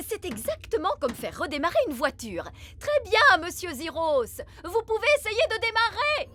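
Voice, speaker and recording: An actress playing a brisk, bossy fairy nanny in a cartoon. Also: female voice, very high voice, big pitch swings, bright, forceful tone, no echo, full character voice. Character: bossy cheerful old fairy nanny with a magic bag. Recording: cartoon soundtrack with music behind.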